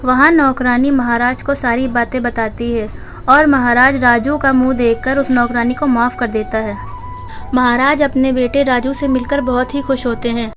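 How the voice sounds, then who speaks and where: A woman's voice: high-pitched, steady tone, moderate pace, fairly bright tone. A woman narrating a children's moral story from a script, as a storyteller. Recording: music behind the voice. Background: background music.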